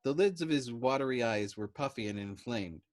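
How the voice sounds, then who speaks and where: A male reader narrates a novel in an expressive storytime style. His voice sits at a medium pitch and moves up and down in a very animated way. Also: normal speed, no room sound, studio quality.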